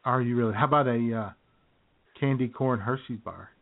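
A man's voice: medium pitch, moderate pace. A man co-hosting a comedic radio show, chatting with frequent laughs and mock outrage. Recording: phone-like radio audio.

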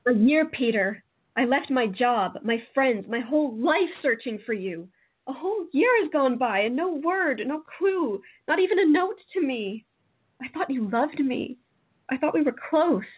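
A woman's voice: sits high, lively intonation, normal speed, slightly rough, phone-quality sound. An actress playing an earnest, reproachful young woman, a devoted sister, in a radio play. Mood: hurt, reproachful.

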